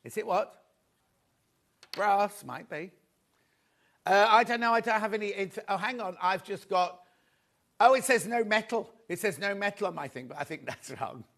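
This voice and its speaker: A man presenting a live shopping show, rambling through a chatty sales patter with a slightly croaky voice. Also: sits high, expressive, moderate pace, long pauses, a bit bright.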